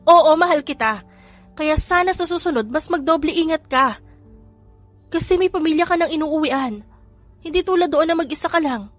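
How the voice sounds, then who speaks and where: A woman voicing an exasperated young mother who scolds the man she loves, in a high emphatic voice. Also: moderate pace, long pauses, no room sound, faint music behind, lightly acted. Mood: worried pleading. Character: exasperated loving young mother, sharp-tongued.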